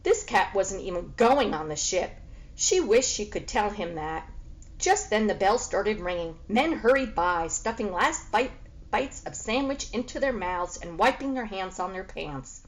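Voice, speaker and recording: A woman reading a children's book aloud as expressive storybook narration. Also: mid-range voice, measured pace, poor audio.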